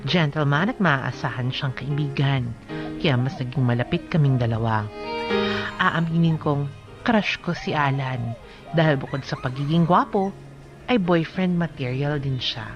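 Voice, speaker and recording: A woman's voice: low-pitched, a little slow. A woman with a Filipino accent narrating a scripted first-person story for a radio drama. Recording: dull lo-fi audio over music.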